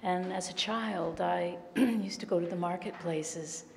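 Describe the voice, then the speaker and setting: A woman painter who grew up in Guatemala, telling a story in a warm way on a gallery panel. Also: fairly low pitch, measured pace, gravelly. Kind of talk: panel discussion answer. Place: art gallery room. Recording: echoey hall with faint noise.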